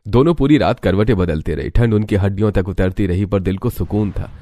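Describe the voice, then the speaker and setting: A man's voice: lowish voice, fairly quick, dark tone, soft background music. A man narrating a scripted Hindi cartoon story.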